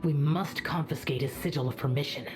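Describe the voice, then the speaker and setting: An actor playing a stern, proud immortal demon slayer in a video game, speaking in a curt, aloof, formal way. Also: male voice, on the high side, a little fast, music in the background, lightly acted. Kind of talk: scripted video game voice acting.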